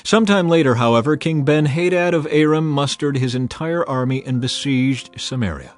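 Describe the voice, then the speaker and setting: A man narrating a dramatized scripture reading in a measured, earnest way. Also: fairly high pitch, no echo. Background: faint background music.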